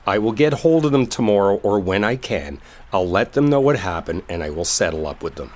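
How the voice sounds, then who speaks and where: A man playing an exasperated, sarcastic, stubborn guy in a dramatic roleplay audio, talking impatiently with rain behind him. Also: lowish voice. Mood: insistent, reassuring. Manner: impatient, sardonic, conversational, with mild swearing. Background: rain storm ambience.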